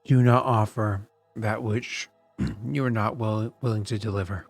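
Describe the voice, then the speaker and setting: A man voicing a solemn ancient spirit of living flame, speaking slowly and dryly. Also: lowish voice, fairly even intonation, gravelly, no room sound, soft background music. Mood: grave. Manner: slow, grave and dry.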